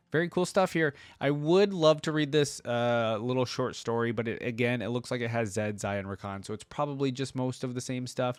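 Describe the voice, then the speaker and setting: A man's voice: mid-range voice, clean tone, dry recording. A man hosting a reaction video with casual, conversational commentary.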